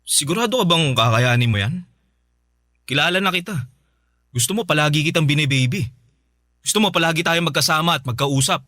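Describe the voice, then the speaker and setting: A man's voice: fairly high pitch, animated, brisk, long pauses, pushed and bright, no echo, clean studio sound. A man playing an irritated, guarded young ex-boyfriend in a Filipino radio drama, curt and stern. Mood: skeptical, reproachful.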